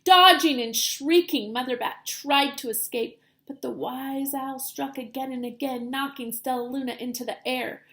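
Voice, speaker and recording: A woman with a high voice reads aloud as a primary-style teacher would. Her delivery is expressive and dramatic, shaped for children following a storybook. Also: moderate pace, hardly any pauses. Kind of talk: children's picture book read-aloud.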